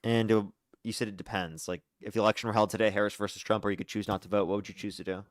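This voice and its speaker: Young guy running a live call-in stream, talks super fast, dry close sound, keeps cycling through callers and cracking sarcastic jokes. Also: on the low side, little pitch movement, dry recording. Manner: brisk, repetitive screening of callers, sarcastic asides. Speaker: livestream debate host.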